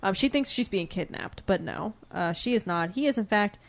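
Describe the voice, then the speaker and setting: A woman's voice: medium pitch, expressive, normal speed, vocal fry. A woman co-hosting a podcast, bantering casually about books in a chatty, opinionated way. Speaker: podcast co-host. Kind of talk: casual book-discussion banter.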